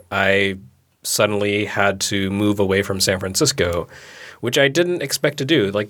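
Sleepy-voiced man chatting on a podcast. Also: fairly low pitch. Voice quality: sleepy-sounding voice. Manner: relaxed, rambling, self-deprecating. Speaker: podcast co-host. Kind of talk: casual chat.

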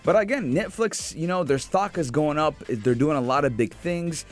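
A man co-hosting a radio show, chatting in a casual, animated, self-mocking way. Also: a little high, moderate pace, faint music behind. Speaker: radio co-host.

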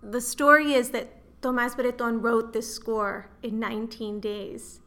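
Bright, clear-voiced woman guest. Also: a little high, fairly even intonation, measured pace. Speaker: guest, librettist and professor. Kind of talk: interview answers.

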